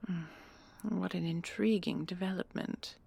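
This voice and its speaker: A woman's voice: lowish voice, fairly even intonation, measured pace, gravelly, a little mellow, husky, no echo, heavily acted. A woman playing a proud, sardonic commandant and inventor in a sci-fi audio drama, with a dry, haughty manner. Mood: quiet, calculating. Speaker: voice actor and creator. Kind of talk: sci-fi audio roleplay acting.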